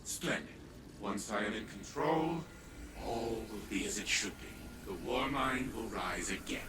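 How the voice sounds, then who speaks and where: A man's voice: fairly low pitch, a little slow, close to an everyday voice. An actor voicing an arrogant, condescending old grandfather, a scheming genius turned AI, haughty and sardonic in a game cutscene. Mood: grandiose. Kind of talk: video game cutscene acting. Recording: clear background noise and ambience.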